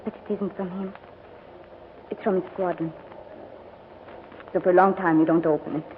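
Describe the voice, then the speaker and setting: A woman's voice: lowish voice, steady tone, moderate pace, phone-quality sound, lightly acted. An actress playing a brave, earnest, devoted young Frenchwoman in a 1940s radio drama. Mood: wistful grief. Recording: thin, hissy old radio recording.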